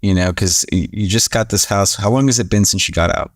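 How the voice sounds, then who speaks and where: A man hosting a podcast, asking his guest questions in a friendly, encouraging way with quick replies. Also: on the low side, no room sound, studio quality. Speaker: podcast host. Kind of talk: interviewing a guest.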